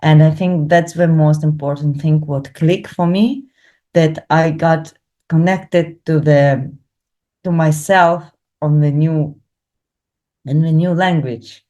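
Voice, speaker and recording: A young woman talks in English, which is not her first language, pausing often and hunting for words. Her voice sits low and sounds mellow and relaxed. It is an unscripted interview, told with plenty of fillers and restarts. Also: muffled recording. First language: not English, not Hebrew. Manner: hesitant, searching for words, many fillers. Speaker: Hebrew learner interviewed about her course. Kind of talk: casual testimonial interview.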